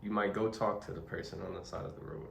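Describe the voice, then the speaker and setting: A man's voice: lowish voice, level tone, fast, creaky, fairly bright tone, slight background noise. A man hosting an interview, asking questions and summing up the guest's answers back to him. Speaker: interviewer host. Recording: roomy, distant mic with faint ambience.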